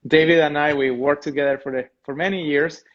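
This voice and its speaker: A man's voice: a little high, steady tone. Guy hosting a video call interview, friendly, stumbles over questions, muffled lo-fi sound. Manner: friendly, halting, restarts questions. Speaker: interview host. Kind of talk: casual online interview.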